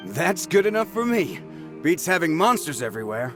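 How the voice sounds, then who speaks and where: An easygoing young warrior in a video game. His highish voice swings widely in pitch, casual and boisterous. Music is clearly audible behind him. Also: moderate pace. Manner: casual, expressive.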